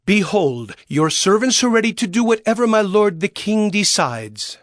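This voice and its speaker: An actor playing a loyal, deferential royal servant in a dramatized audio Bible. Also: male voice, sits high, big pitch swings, normal speed, fairly bright tone, no room sound, studio quality. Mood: devoted, resolute.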